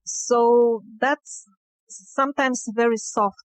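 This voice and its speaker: A woman guest explaining her research in an interview, speaking in a deliberate, halting and emphatic way. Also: fairly high pitch, fairly even intonation, a little hiss or hum, low-quality recording.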